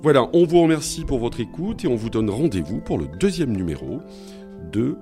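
A man's voice: mid-range voice, very animated, moderate pace, mellow, faint music behind. A man hosting a podcast, closing the episode in a formal manner with many hesitant fillers. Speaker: podcast host. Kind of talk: podcast wrap-up.

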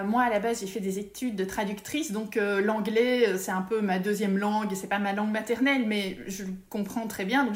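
A woman's voice: mid-range voice, reverberant. A woman, a feng shui consultant, talking casually in an interview and explaining her work with many hesitations and restarts.

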